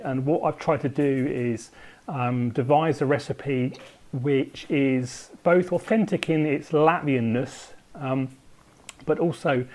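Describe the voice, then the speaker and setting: Male home baker, mid pitch, chatty and meandering, casual to-camera recipe talk. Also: a little mellow. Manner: rambling, thinks aloud with frequent ums.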